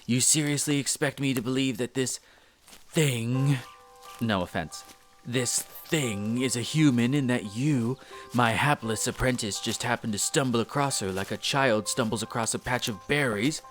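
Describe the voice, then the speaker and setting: A man voicing a harsh, skeptical master scholar scolding an apprentice, pompous, drawn-out and scornful, in a roleplay audio drama. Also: mid-range voice, fairly bright tone, soft background music, close to an everyday voice. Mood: scornful, disbelieving. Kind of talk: scripted roleplay audio drama, mocking impression.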